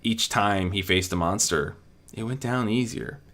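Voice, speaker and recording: A man with a bright, somewhat low voice narrates a fantasy adventure. His delivery is scripted and dramatic, that of an amateur reader who now and then trips on a word. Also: a little slow. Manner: amateur reading with occasional stumbles and self-corrections. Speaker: story narrator.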